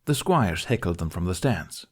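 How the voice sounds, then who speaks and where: A man reading a fantasy story aloud in an expressive audiobook style. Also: low-pitched, normal speed. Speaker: fan fiction narrator.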